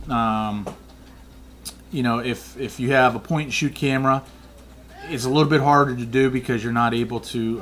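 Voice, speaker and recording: A man casually explaining night photography in a flat, monotone way, rambling with many fillers and restarts. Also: medium pitch, slight background noise. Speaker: photography vlogger.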